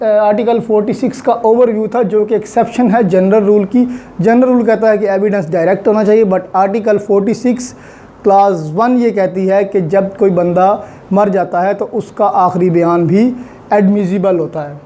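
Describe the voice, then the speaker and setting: A man with a Pakistani Urdu accent giving a recorded law lecture, spelling out definitions slowly and deliberately. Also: sits high, steady tone, dark tone.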